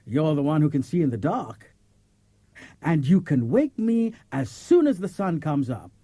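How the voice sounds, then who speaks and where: A man's voice: a little high, very animated, unhurried, warm tone, slightly rough, no room sound, exaggerated voice. A man playing a kindly, fretful older farmer in an audiobook, coaxing and persuading. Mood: worried, persuasive. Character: kindly, worried older male farmer coaxing his cat. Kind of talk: audiobook character acting. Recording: cassette transfer with faint music.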